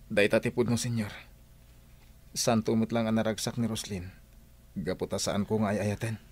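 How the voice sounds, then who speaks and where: An actor playing a meek, troubled young debtor in a radio drama, hesitant and subdued, with a Filipino accent. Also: male voice, lowish voice, level tone, normal speed, husky, no echo. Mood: meek, reluctant. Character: meek, troubled young man in debt, addressing his senior.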